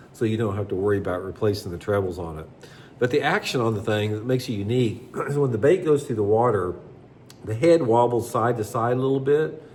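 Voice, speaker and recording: A man with a fairly low voice walks through fishing tackle tips in an easygoing, conversational way, dotted with ums and uhs. His voice sounds a little stuffed up from allergies. A faint hiss sits under the recording. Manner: relaxed, conversational, many fillers. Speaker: bass fishing channel host. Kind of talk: casual tackle demonstration.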